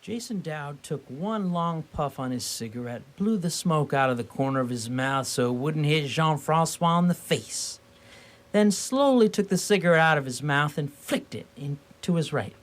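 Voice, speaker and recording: A man narrating a scripted radio play as a theatrical, deliberate storyteller. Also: on the high side, animated.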